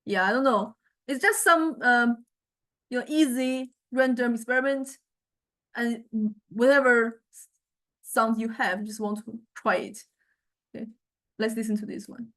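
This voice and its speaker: A woman giving an informal software demonstration lecture, hesitantly thinking aloud and searching for words as she explains. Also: fairly high pitch, a little slow, long pauses, poor audio. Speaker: music technology lecturer.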